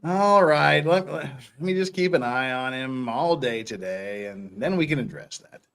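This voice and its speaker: A man hosting a dramatic reading of courtroom dialogue, theatrical and animated, with casual asides. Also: mid-range voice, unhurried, vocal fry, clear, resonant tone.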